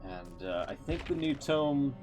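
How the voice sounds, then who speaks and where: A man's voice: mid-range voice, sing-song, a little slow. A man giving casual, rambling live-stream commentary as a game streamer, in an informal way. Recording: music playing behind the voice.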